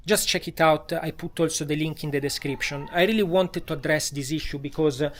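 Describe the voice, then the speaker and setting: A man's voice: on the high side, fairly even intonation, brisk. A man with an Italian accent, a vlogger talking casually at home with many fillers and restarts. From Italy. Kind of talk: casual vlog talk. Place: home in a tropical town.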